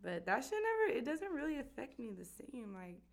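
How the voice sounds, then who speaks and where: A young woman from with a raspy voice, chatting bluntly as a podcast guest and swearing freely. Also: high-pitched, very animated, brisk. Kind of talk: casual chat.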